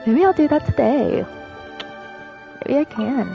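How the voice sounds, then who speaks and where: A woman's voice: sits high, animated, a little slow, creaky, highs cut off. A woman streaming a game on a close microphone, giving casual live commentary. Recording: game music behind the voice.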